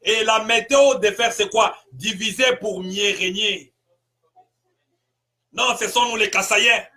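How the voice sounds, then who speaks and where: A man from Congo, a pastor, giving an impassioned political address full of rhetorical questions and bursts of shouting. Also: high voice, slow pace. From Congo (DRC).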